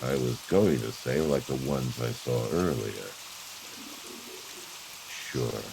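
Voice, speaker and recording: An actor playing an impatient, sardonic private detective in a brusque, slangy 1940s radio drama style. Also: male voice, rumbling low, animated, takes it slowly, gravelly, noisy background, full character voice.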